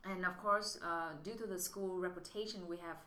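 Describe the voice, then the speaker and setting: A woman's voice: on the low side, fairly even intonation, moderate pace, sharp tone. Woman in an echoey room. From Vietnam. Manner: thoughtful, restarts often, non-native English phrasing. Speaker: MBA student guest. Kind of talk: casual interview answers. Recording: echoey room with faint noise.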